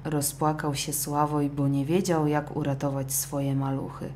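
A woman narrating a scripted children's audio story in an expressive, dramatic way. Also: deep voice, measured pace, slight background noise.

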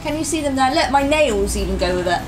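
A woman's voice: high-pitched, lively intonation, fast, music in the background. A woman in her thirties presenting craft products in a casual, gushing chat, filmed in a small stockroom by a camera on the table. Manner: chatty, enthusiastic, gushing. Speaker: shop presenter.

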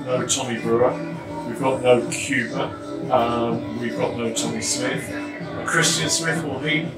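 A man's voice: mid-range voice, fairly even intonation, measured pace, creaky, loud music behind the voice. Older man asking questions in echoey room. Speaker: club interviewer. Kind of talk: post-match interview questions. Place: small changing room. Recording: echoey room, distant mic.

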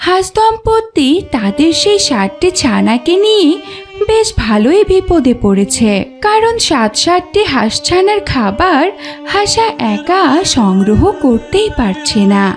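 A woman's voice: high-pitched, very animated, unhurried, soft background music. A woman narrating a scripted children's story as the storyteller of a cartoon.

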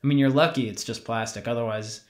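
A man playing an awkward, sheepish young retail worker in an audio drama, with a halting, casual, wry delivery. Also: mid-range voice, steady tone, lightly acted. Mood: nervous, wry. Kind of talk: naturalistic scripted audio drama dialogue.

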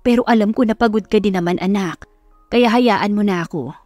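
A woman's voice: medium pitch, brisk, a little mellow, no room sound, lightly acted. A loving middle-aged mother voiced by a female narrator in an audio love story. She is tender and sentimental with her child. The sound is dry, with faint music behind. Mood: tender, proud.

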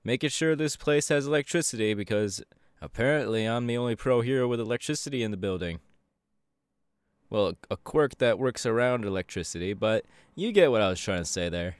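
A man's voice: medium pitch, fairly quick, clean tone, no room sound, clean studio sound, close to an everyday voice. A man playing an upbeat, easygoing young electric superhero in a casual, chatty audio roleplay. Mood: friendly, chatty. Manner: casual, animated, hesitant with fillers. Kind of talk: audio roleplay, chatty anime character acting.

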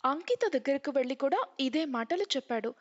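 A woman's voice: high voice, expressive, a little fast. A woman giving scripted narration for a cartoon story. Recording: muffled, long hall reverb.

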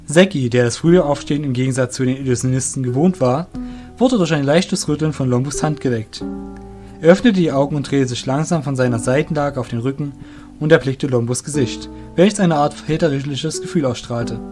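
A man's voice: medium pitch, fairly quick, darkish tone. A man narrating a scripted fantasy story as the narrator of an audio drama. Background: music.

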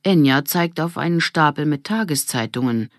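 A woman narrating a children's audiobook in an expressive storytelling manner. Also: low-pitched, normal speed, no echo, clean studio sound.

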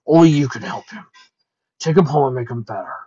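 A man voicing a gentle, sad dragon in a storybook reading, subdued and earnest. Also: medium pitch, brisk, slightly rough, lo-fi sound, natural voice. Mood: resigned sadness. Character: gentle lovesick male dragon, accursed and sad.

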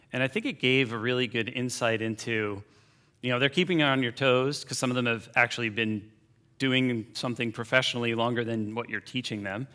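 A man's voice: mid-range voice, pushed and bright. A man, giving a casual, chatty conference keynote from a large hall stage, full of fillers and asides. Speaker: keynote speaker.

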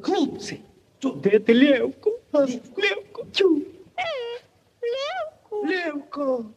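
A very high-voiced rowdy village lad. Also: male voice, huge pitch swings, slow, background noise, heavily acted. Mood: calling out. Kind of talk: 1940s Soviet radio drama acting. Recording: old radio recording with crowd behind.

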